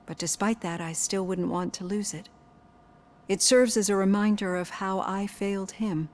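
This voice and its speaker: A woman playing a composed, gently probing psychologist in a video game, speaking in a measured, clinical way. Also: medium pitch, steady tone, natural voice. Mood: reflective.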